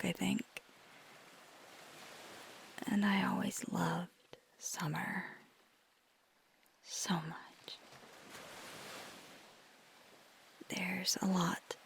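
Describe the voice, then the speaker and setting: A woman playing a flirty, tender vampire in an intimate ASMR roleplay, speaking softly and gently, mostly in a breathy whisper. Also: fairly low pitch, a little hiss or hum, exaggerated voice. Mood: wistful. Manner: slow, gentle, hesitant, teasing.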